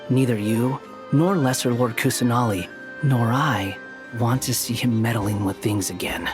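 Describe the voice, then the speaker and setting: A man's voice: mid-range voice, measured pace, music in the background, natural voice. Man playing an aloof, sardonic young scholar. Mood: cool, knowing. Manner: cool, dry, clipped. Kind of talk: video game voice acting.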